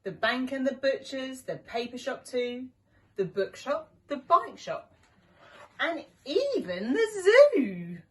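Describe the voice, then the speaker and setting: A woman voicing an eager male robber dog sidekick in an expressive storybook manner. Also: high voice, takes it slowly, distant mic, pitched above the performer's own voice, exaggerated voice. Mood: discouraged. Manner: exclamatory, expressive storybook delivery.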